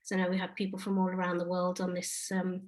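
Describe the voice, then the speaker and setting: Woman from the UK, artist, Q&A on a video call, flat even delivery, halting with lots of fillers. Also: lowish voice. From United Kingdom. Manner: hesitant, many fillers and restarts. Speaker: artist and project lead. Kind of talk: answering audience questions on a webinar.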